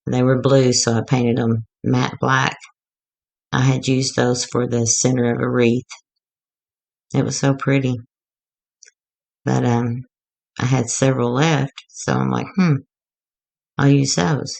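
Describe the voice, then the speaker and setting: A woman going hoarse as she gives a rambling, chatty, self-deprecating walkthrough of a craft project. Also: rumbling low, little pitch movement, slow pace, pauses often, a little mellow. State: getting hoarse. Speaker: craft tutorial maker.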